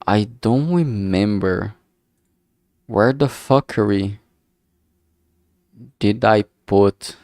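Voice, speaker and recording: A man's voice: fairly low pitch, drawn-out words, long pauses, a little mellow, no echo, studio quality. A man from Brazil with a thick Brazilian Portuguese accent, rambling hesitantly with many fillers as he thinks aloud on a stream. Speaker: game developer streamer.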